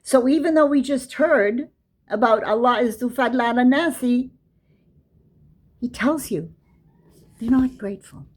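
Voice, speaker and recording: A woman's voice: a little high, a little slow, pauses often. A woman giving a casual religious lesson, explaining verses and reciting Arabic. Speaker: Quran teacher.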